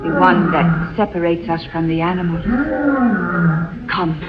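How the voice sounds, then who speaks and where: A woman playing a brave, solemn young captive princess, speaking gravely and dramatically in a 1940s radio adventure. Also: lowish voice, level tone, unhurried, over a noisy crowd, lightly acted. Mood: brave resignation. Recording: muffled, hissy 1940s radio recording.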